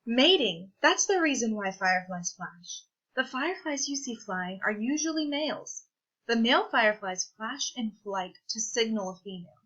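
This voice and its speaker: A woman reading a children's science picture book aloud, with clear, deliberate, teacherly phrasing. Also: on the high side, animated, a bit bright, dull audio. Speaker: read-aloud presenter.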